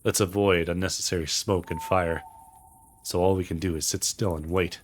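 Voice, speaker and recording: A man's voice: low voice, steady tone, normal speed, a little hiss or hum. A man streaming a game, reading visual novel dialogue aloud. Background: soft guitar game music.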